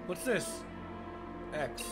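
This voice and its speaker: A young man with a sore throat and a partly lost voice, chatting casually as he plays a game and reacting with awe. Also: drawn-out words. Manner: awed, chatty, easily amazed. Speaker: gaming YouTuber. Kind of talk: casual let's play commentary. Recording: gameplay music underneath. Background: calm game music.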